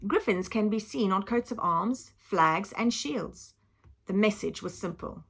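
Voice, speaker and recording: Animated, slightly husky Englishwoman reading a storybook. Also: medium pitch, measured pace. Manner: animated, dramatic emphasis, explaining simply for children. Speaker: teacher reading aloud to children. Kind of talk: expressive children's storybook reading with explanatory asides.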